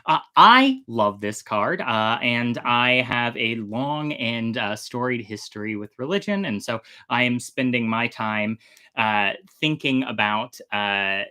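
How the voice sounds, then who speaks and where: An expressive storytelling host talks casually to viewers. The voice sits at a middling pitch and swings widely up and down, with a slightly theatrical delivery. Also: slow pace. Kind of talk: casual livestream chat.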